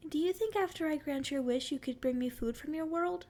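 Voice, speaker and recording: Casting: female performer as a sweet, playful forest fairy for ASMR roleplay, very high voice with a creaky edge, teasing and curious. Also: fairly quick, a little mellow. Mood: curious, eager. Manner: sweet, flirty, teasing endearments. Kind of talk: ASMR roleplay, addressing the listener.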